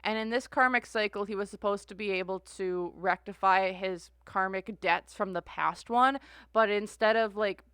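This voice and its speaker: A woman's voice: mid-range voice, normal speed, a bit bright, clean tone, no room sound, studio quality. A woman giving a casual tarot reading, rambling and filling her sentences with "like" and "uh.". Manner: rambling, heavy use of "like" and "uh". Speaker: tarot reader.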